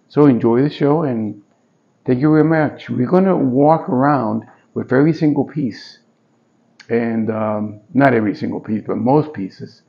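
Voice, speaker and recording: A man's voice: medium pitch, creaky, highs cut off. A man in his sixties, from Puerto Rico, with a Spanish-tinged New York accent, giving a friendly, hesitant gallery talk about his paintings with many fillers. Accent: Puerto Rican Spanish-tinged New York. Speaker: artist. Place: small indoor gallery with stone walls.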